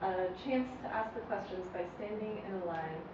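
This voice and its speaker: A woman's voice: medium pitch, normal speed, lo-fi sound. A woman moderating a panel, explaining the procedure step by step. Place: public meeting room. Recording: noisy echoey room from far off.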